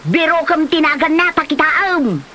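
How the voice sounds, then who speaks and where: A woman's voice: high voice, animated, normal speed, pushed and bright, a little hiss or hum. A woman playing a hot-tempered, resentful character spoiling for a fight in a comedy drama, scolding indignantly in an Ilocano accent. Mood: accusing. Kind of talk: colloquial comedy drama acting.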